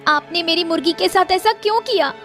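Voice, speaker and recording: Cartoon villager played by a woman: excitable, distressed, plaintive, agitated, very high voice. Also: brisk, soft background music. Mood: upset, accusing. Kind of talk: Hindi cartoon story voice acting.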